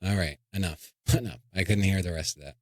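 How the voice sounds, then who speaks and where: Lowish rambling man hosting a podcast. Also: fairly quick, darkish tone, no room sound, clean studio sound. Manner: rambling, joking, with restarts. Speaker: podcast host. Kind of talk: casual comedic chat.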